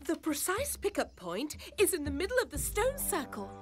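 A woman's voice: high-pitched, huge pitch swings, moderate pace, soft background music. A woman voicing a bossy, bookish, anxious teenage girl in a cartoon, earnest and precise. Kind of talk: cartoon acting.

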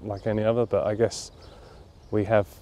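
A documentary interviewee at a campsite, a man in his thirties with a mellow, lowish voice, pausing to think as he explains himself. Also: little pitch movement. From London, England. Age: 30s. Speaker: interviewee, charity cyclist. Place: outdoors at a leafy campground.

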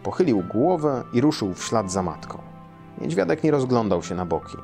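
A man's voice: mid-range voice, very animated, moderate pace, a little mellow, soft background music. A man around his late thirties or forties, speaking as a storyteller for children. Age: 30s to 40s. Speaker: storyteller and translator reading his translation. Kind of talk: children's story read aloud. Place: small quiet room indoors, seated in an armchair.

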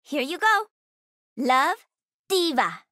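Bubbly young gamer girl, game character, bright, lively, unhurried. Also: sits high, dry recording, studio quality, exaggerated voice. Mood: cheerful, obliging. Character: bubbly young female esports star.

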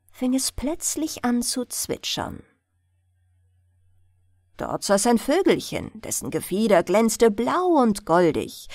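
A woman reading a bedtime fairy tale in a soothing, expressive storytelling manner. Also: a little high, no room sound, clean studio sound. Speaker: audiobook narrator.

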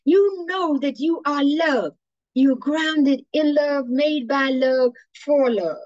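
A woman leading a guided imagery meditation in a slow, soothing, devotional manner over a video call. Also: sits high, a little mellow, dry recording. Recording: muffled, dry video call audio.

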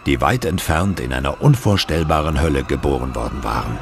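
An actor as a grave storyteller, narrating a horror audio drama in a solemn, suspenseful manner. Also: male voice, rumbling low, expressive, brisk, gravelly, over music, full character voice.

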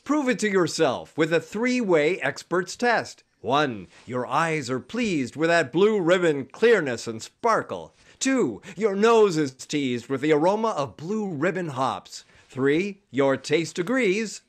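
A man's voice: on the high side, sing-song, takes it slowly, few pauses. A man announcing in the style of a 1950s radio show and its sponsor ads.

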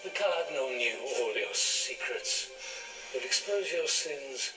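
An actor playing a cold, measured, accusing messenger in a television period drama. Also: male voice, mid-range voice, expressive, music in the background, lightly acted, low-quality recording. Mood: cold, menacing. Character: cold male messenger of a powerful cardinal.

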